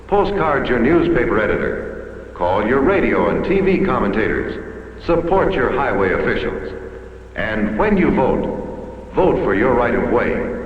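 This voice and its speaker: A man's voice: mid-range voice, sing-song, a little slow, narrow, tinny audio. An American man giving scripted 1950s promotional film narration in an emphatic, urging, declamatory manner. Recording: vintage film sound, very echoey, reverberant.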